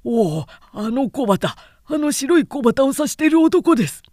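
A woman's voice: high-pitched, expressive, moderate pace, warm tone, dry recording, clean studio sound, pitched above the performer's own voice. A woman voicing an agitated male foot soldier in a storybook reading, reporting urgently and emphatically to his lord. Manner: urgent, raised, emphatic delivery. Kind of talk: dramatic storybook character reading.

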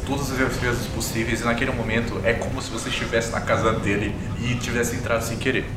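A man's voice: medium pitch, very noisy. A man narrating a tabletop role-playing game as the game master. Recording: roomy echo, music underneath.

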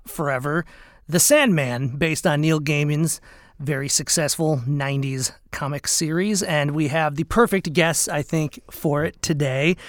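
A man's voice: fairly high pitch, a bit bright, dry recording, studio quality. A man co-hosting a podcast, chatting casually and rambling a little with fillers. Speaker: podcast co-host.

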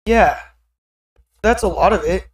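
A woman with a deeper voice chatting casually as a podcast co-host, blunt and often laughing at herself. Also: measured pace, breathy edge, no echo, clean studio sound. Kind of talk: casual chat.

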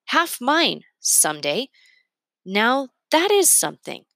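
A woman narrating a storybook aloud in an expressive read-aloud style. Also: a little high, slow, creaky, no echo, studio quality.

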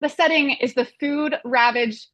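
A woman's voice: high voice, steady tone, moderate pace, a bit bright, no echo. A woman hosting a podcast, reading prepared material with wry, playful casual asides. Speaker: podcast host and moderator.

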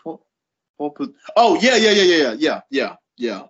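A man's voice: a little high, very animated, moderate pace, a bit bright, highs cut off. A man in his late thirties chatting casually as a podcast guest. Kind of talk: casual chat, personal storytelling.

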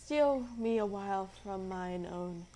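A woman's voice: medium pitch, expressive, unhurried, background noise, lightly acted. A woman playing a lovelorn, sorrowful young lady in a Shakespearean radio play, lamenting wearily in verse. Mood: weary, sorrowful.

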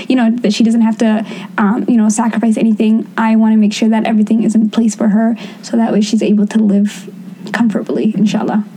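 A woman co-hosting a casual podcast chat, talking in a lively way and quick to chime in. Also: on the high side. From Somali. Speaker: podcast co-host.

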